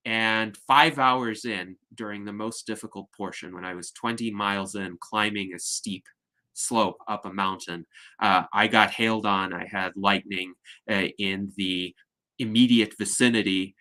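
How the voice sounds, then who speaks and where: A man hosting an online discussion, talking in a measured, articulate way over a video call. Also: fairly low pitch, fairly even intonation, pushed and bright, poor audio. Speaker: host.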